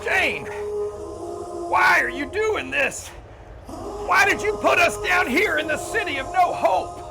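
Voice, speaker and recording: A man's voice: very high voice, takes it slowly, vocal fry, shouting, music in the background, full character voice. A man playing a jittery, exasperated, hard-drinking character in an afterlife audio drama, speaking dramatically. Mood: frightened, exasperated.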